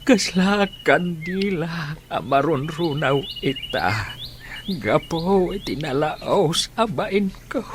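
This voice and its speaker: A man's voice: a little high, lively intonation, slow pace, background noise. A man with a Filipino accent playing a weary, remorseful ageing father in a radio drama. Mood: ashamed.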